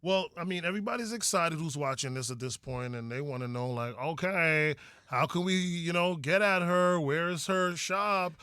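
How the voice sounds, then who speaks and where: A man's voice: fairly high pitch, moderate pace, few pauses, pushed and bright, clear, resonant tone, dry recording, studio quality. A man hosting a podcast interview in an informal, slangy and encouraging way. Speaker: podcast host. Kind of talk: casual interview chat.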